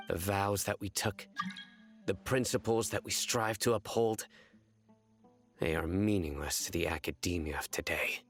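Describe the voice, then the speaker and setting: An actor playing a stern, principled exiled enforcer in an anime game, speaking formally and gravely. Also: male voice, on the low side, natural voice. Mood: resolute.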